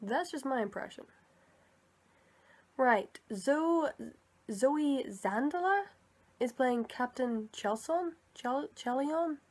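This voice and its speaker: A woman's voice: high voice, lively intonation, slow pace, long pauses, a little mellow. A young woman giving casual, unscripted commentary in a tentative, musing way, as if thinking aloud. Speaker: vlogger sharing opinions on film characters.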